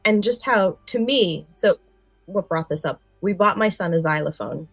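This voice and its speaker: A woman's voice: mid-range voice, expressive, moderate pace, a bit bright, husky, dry recording, soft background music. A woman co-hosting a podcast, moving between casual chat and prepared summaries. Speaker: podcast co-host.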